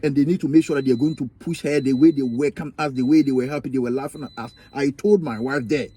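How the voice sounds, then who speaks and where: Emphatic Ghanaian man, husky and mellow. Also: mid-range voice, steady tone, fast talker, dry recording. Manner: emphatic, repetitive, preachy storytelling. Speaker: vlogger. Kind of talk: personal story vlog.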